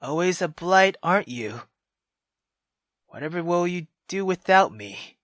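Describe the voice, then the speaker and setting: A man voicing a stern, reproachful mother in a visual novel, speaking low and hushed. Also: animated, unhurried, no echo, studio quality. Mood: cold scolding. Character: stern, scolding mother figure, woman.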